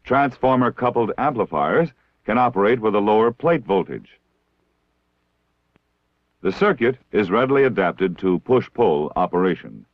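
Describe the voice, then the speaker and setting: An American man reading scripted instructional narration in a measured, formal, didactic way, like a 1960s military training film. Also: lowish voice, animated, dry recording. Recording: dull, lo-fi 1960s film soundtrack.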